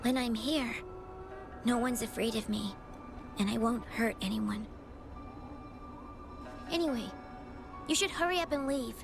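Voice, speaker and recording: Timid little girl, high voice, guarded, hesitant, game acting, clear background music. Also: a little slow, pauses often. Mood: guarded, lonely.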